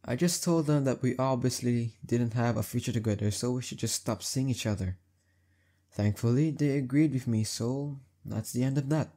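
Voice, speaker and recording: A young man acting as a heartbreaker friend in an intimate roleplay audio, talking casually and haltingly with emphasis and a soft husky edge. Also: mid-range voice, close to an everyday voice. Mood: defensive, teasing.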